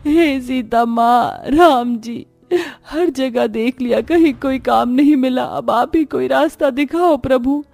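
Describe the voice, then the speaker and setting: A woman voicing a poor, gentle, long-suffering mother in a cartoon family drama, earnest and soft-hearted. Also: sits high, takes it slowly, gravelly, faint music behind, pitched above the performer's own voice, heavily acted. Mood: pleading. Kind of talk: Hindi animated family melodrama.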